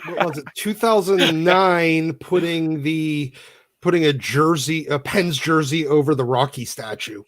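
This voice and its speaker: A man's voice: on the high side, measured pace. A man in casual chat, talking in a teasing way.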